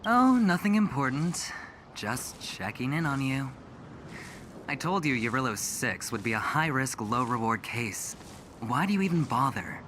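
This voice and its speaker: An actor playing a smug, smooth-talking young executive with a gambler's temper in a video game, teasing and theatrical. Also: male voice, mid-range voice, big pitch swings, a little slow, a bit bright, slight background noise. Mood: needling. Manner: teasing, theatrical, self-satisfied.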